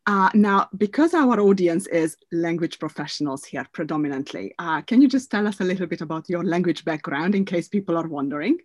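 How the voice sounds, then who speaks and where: A woman hosts a podcast interview in a low, husky voice, recorded dry and close. She asks questions in a thoughtful way and often pauses to hesitate. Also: expressive, dry recording. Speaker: podcast host.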